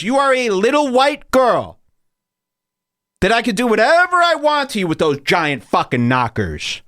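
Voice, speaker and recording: Lively, close-miked man ranting. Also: high-pitched, dry recording. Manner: loose, provocative, laughs at own jokes. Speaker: podcast host. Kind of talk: casual comedic rant.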